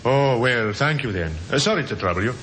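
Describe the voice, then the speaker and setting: A man's voice: mid-range voice, lively intonation, a little fast, very noisy, lightly acted. An actor playing a polite, dutiful male police sergeant in an old radio drama, formal and courteous. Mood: courteous, official. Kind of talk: 1950s radio drama acting.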